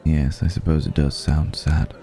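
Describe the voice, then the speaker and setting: A man playing a refined, wistful, centuries-old vampire in an intimate ASMR roleplay, speaking reflectively with lingering pauses. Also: extremely low pitch, little pitch movement, warm tone, soft background music. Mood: wistful, mournful.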